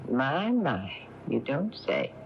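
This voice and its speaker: A woman's voice: extremely low pitch, sing-song, takes it slowly, creaky, slight background noise, exaggerated voice. An actress playing a prim, reserved older mother with a formal, subdued manner in a 1980s radio drama. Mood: composed, guarded. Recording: dull lo-fi AM radio tape.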